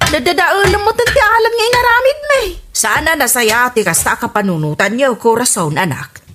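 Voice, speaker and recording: Exasperated Ilocano mother played by a woman, higher-pitched, swooping dramatically, scolding her grown children in radio comedy drama. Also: expressive, normal speed, a bit bright, soft background music. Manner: nagging, dramatic, emphatic.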